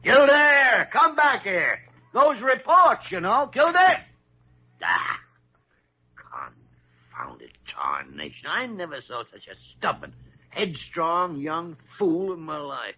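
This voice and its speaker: Gruff old doctor, gravelly, blustering, very animated pitch, meddling temper, old-time radio drama, muffled vintage recording. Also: male voice, slow, heavily acted. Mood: irritated, blustering. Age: older. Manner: blustering, hemming and grumbling. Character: gruff, crotchety, meddling older male doctor. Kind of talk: 1940s radio drama acting.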